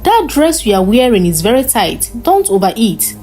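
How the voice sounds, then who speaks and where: A concerned, motherly older woman from a folktale, acted by a woman narrator. She admonishes earnestly in a high, raised voice. Faint music sits behind the words. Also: sing-song, normal speed, dark tone. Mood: concerned warning.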